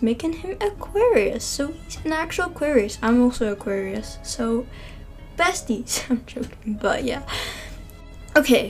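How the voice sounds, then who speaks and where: A woman's voice: fairly high pitch, a little mellow. Chatty young woman, rambling. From Wales. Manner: rambling, many fillers and self-corrections. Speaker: channel host. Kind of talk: casual chatty vlog narration.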